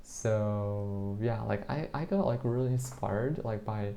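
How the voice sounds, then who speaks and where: A man's voice: on the low side, takes it slowly, mellow, a little hiss or hum. A man whose first language is Portuguese, chatting in English as the interviewer, talking on and on while filling his sentences with "like" and small restarts. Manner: chatty, hesitant, leans heavily on the filler "like". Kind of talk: casual street conversation.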